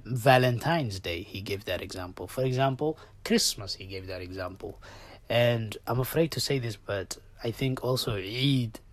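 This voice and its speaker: A man whose first language is Somali, hosting a solo podcast and giving his opinions, swinging from loud outbursts to low muttered asides. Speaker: podcast host.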